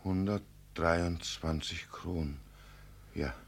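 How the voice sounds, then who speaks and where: A man's voice: deep voice, steady tone, slow, heavily acted. An actor in a German radio play, playing a doting, proud, simple poor village father who talks plainly and earnestly. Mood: downcast.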